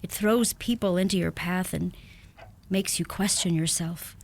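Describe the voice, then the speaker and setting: A woman's voice: lowish voice, unhurried, vocal fry, darkish tone, slight background noise. Self-possessed young woman. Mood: candid, tender. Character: self-possessed, tender young American bride-to-be. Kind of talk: radio play acting.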